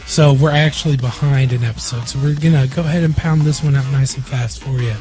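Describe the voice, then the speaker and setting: A man co-hosting a casual podcast, his voice husky while recovering from an illness, joking crudely and loosely with friends. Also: medium pitch, steady tone, fairly quick, darkish tone, soft background music. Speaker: podcast co-host.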